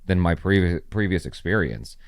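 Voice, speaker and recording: Enthusiastic low-voiced man guest. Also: moderate pace, vocal fry, dry recording, clean studio sound. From United States. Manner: animated, enthusiastic storytelling. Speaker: guest, reaction channel creator. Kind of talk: casual interview storytelling.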